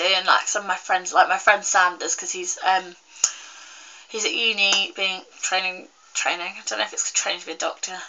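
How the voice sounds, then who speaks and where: A young woman vlogging in a casual, rambling chat to camera, full of fillers and restarts, her voice a little croaky and congested from a cold. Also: mid-range voice, pushed and bright, rough recording. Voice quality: slightly croaky.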